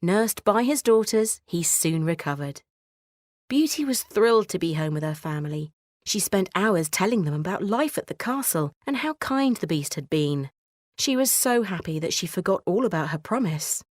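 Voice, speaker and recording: A woman narrates a children's bedtime story in a measured, expressive way. Her voice sits a little low, and the recording is very dry with no room sound. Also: dry recording.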